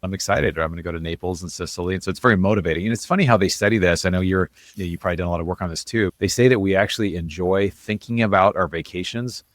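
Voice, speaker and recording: A man with a very deep voice talks as an interview guest. His delivery is quick and casual, like relaxed storytelling in conversation. The sound is dry and close, with no room echo. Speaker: interview guest, investor and author.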